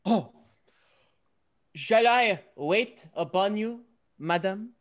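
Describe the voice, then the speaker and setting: A woman plays a foolish dandy in an old comedy of manners. The voice is mid-pitched and affected. Every phrase is florid and vain. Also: lively intonation, drawn-out words. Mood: self-satisfied, airy. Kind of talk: classical stage comedy acting. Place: indoors at home, on a video call.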